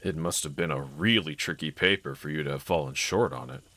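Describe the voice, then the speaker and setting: A man playing a gentle, encouraging husband in an intimate scripted roleplay audio, speaking in a considerate, reassuring manner. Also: rumbling low, a little fast, no room sound, full character voice. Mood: encouraging, supportive. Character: gentle, encouraging adult husband, calm and caring. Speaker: character audio voice actor.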